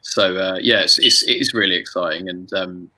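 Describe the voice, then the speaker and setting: A man in a casual chat about making music, talking haltingly and often repeating words as he goes. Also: deep voice, bright, forceful tone, poor audio. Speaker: musician and song arranger hosting a group chat.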